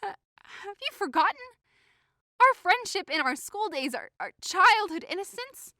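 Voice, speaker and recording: A woman's voice: extremely high pitch, very animated, a little slow, pushed and bright, no echo, clean studio sound, heavily acted. A woman playing a hurt, indignant young woman in a modern-English Shakespeare audio drama, impassioned, accusing and sarcastic. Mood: wounded, pleading. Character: hurt, indignant young woman convinced she is being mocked.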